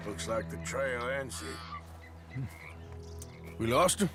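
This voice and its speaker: A man acting in a video game as a terse, steady cowboy outlaw with a dry, laconic way of talking. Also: medium pitch, takes it slowly, loud music behind the voice. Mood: watchful.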